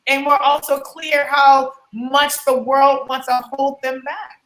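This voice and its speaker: New woman organizer, heartfelt and fired up, sharp bright tone, roomy sound. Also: sits high, little pitch movement, slow pace. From New Orleans, USA. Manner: passionate, heartfelt. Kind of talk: casual discussion. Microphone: video call. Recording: echoey room.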